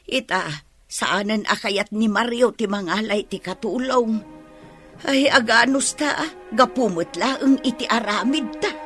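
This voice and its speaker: Husky, grumbling older mother. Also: on the high side, unhurried, a bit bright, soft background music. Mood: grumbling, regretful. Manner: grumbling, exasperated. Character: suspicious, grumbling older mother of a married daughter. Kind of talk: radio drama acting.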